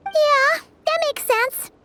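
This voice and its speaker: A woman playing a small, excitable, childlike fairy companion in an anime-style video game. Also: very high voice, slow, faint music behind, exaggerated voice. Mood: chirpy, eager. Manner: excitable, blurting, chatty.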